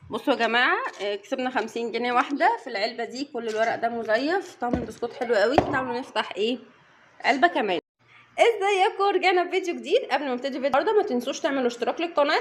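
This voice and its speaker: Egyptian mom vlogging fast, kinda high voice, noisy home audio. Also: female voice. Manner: chatty, addresses viewers directly. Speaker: vlogger mother. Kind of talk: casual unboxing vlog.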